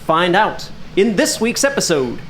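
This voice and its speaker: A showy old-time radio announcer from the 1940s, played by a man, pitched high and swooping through every dramatic phrase. He is suave and theatrical, with faint noise behind him. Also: very animated, a little slow, a bit bright, heavily acted. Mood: dramatic, intriguing. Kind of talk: 1940s radio acting, scripted narration.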